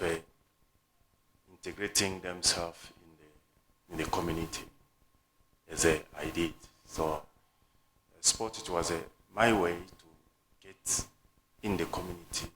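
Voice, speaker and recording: Congolese man, French first language, deep flat voice, slow halting delivery, panel guest. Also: slight background noise, low-quality recording. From Democratic Republic of the Congo. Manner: halting, searching for words, many fillers. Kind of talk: panel discussion answers.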